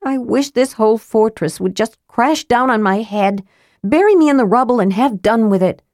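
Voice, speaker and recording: A woman voicing a gloomy, self-pitying young woman, high and whiny. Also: expressive, normal speed, darkish tone, dry recording, clean studio sound, natural voice. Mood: miserable.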